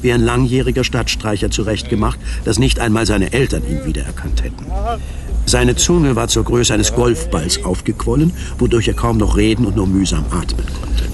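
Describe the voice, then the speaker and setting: A man's voice: fairly low pitch, normal speed. A man narrating a radio drama in a scripted, literary storytelling style. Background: music.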